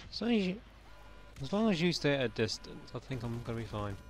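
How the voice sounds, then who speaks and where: Man, young, croaky voice, sarcastic, casual game commentary, mid pitch. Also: sing-song, quick pace, soft background music. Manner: sarcastic, swears often. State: slightly croaky. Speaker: let's play gamer.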